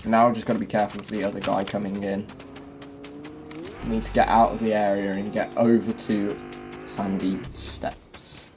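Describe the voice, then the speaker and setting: A man's voice: on the low side, flat delivery, normal speed. A man hosting a gaming video, giving casual, chatty guide commentary and explaining as he goes. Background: music.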